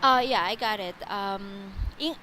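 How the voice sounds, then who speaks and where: A woman's voice: mid-range voice, a little slow, sharp tone, a little hiss or hum. A woman from the Philippines with a Filipino accent, teaching an online English lesson over a video call in a patient, explanatory way. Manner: patient, explanatory, often repeats and rephrases. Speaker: English tutor.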